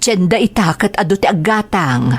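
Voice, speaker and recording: A woman acting as a hardworking, loving mother in an Ilocano radio drama, gentle and maternal, with an Ilocano accent. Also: medium pitch, lively intonation, moderate pace. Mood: gentle, busy.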